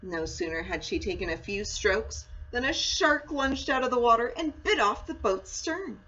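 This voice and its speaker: A woman reading a children's storybook aloud in an expressive, dramatic and deliberate way. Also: fairly high pitch. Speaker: story reader, school read-aloud. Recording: dull lo-fi sound with faint noise.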